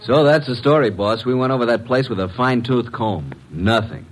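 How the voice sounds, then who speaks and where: An actor playing a wry, wisecracking detective's assistant with an informal manner, in classic radio drama style. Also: male voice, medium pitch, a little fast, natural voice, low-quality recording. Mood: speculating. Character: breezy, wisecracking male private detective's assistant.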